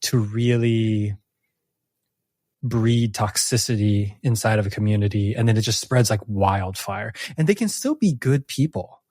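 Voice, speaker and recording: A man's voice: lowish voice, a little slow, darkish tone, no room sound, studio quality. A man hosting a casual post-game chat as game master, speaking in an earnest, reflective way. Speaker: game master and host of a tabletop campaign podcast.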